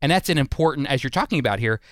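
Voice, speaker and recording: A man's voice: mid-range voice, animated, racing, a bit bright, no echo, clean studio sound. A man hosting a program, talking through issues in a conversational interview style.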